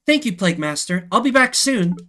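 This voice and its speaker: A man acts out a young rodent apprentice mage from a video game, pitched up and bright. The character is polite, earnest and a little hesitant. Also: sing-song, brisk, heavily acted. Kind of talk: voiced story video game dialogue.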